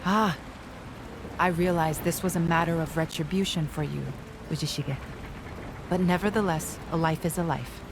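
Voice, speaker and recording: A woman's voice: fairly low pitch, fairly even intonation, normal speed, pauses often, background noise, close to an everyday voice. An actress playing a composed, scholarly woman researcher in a video game, speaking in a formal, analytical way. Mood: composed, solemn. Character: composed, scholarly female sensei who studies monsters. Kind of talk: video game cutscene voice acting.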